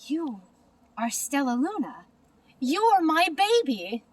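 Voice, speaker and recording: A woman's voice: high-pitched, big pitch swings, takes it slowly, far from the mic, voice pushed up, full character voice. A woman voicing a tender, reassuring mother bat in a storybook, emphatic and maternal. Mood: amazed.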